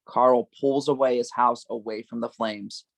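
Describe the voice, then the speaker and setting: A man narrating a screenplay's stage directions at a table read, with a gravelly voice. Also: mid-range voice, fairly even intonation, a little slow, no room sound.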